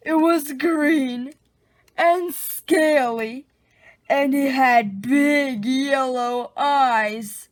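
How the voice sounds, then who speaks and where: A woman reading a children's picture book aloud, expressively, with drawn-out dramatic emphasis. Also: high voice, no room sound. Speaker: storybook reader.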